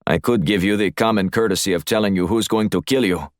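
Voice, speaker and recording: A man voicing a hulking, smooth, menacing Russian crime boss with a clipped, deliberate Russian accent in an audiobook. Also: on the low side, dry recording, clean studio sound, natural voice. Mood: menacing, probing. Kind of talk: audiobook character voice.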